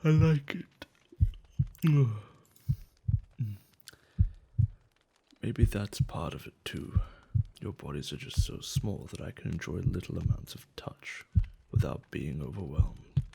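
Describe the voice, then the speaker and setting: A man acting a gentle, caring giant in a soft-spoken, soothing and affectionate ASMR roleplay, with slightly formal phrasing. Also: very animated, measured pace, heavily acted. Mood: shy, affectionate. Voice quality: low, soft, gravelly. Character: gentle male giant, tender, curious and caring toward humans.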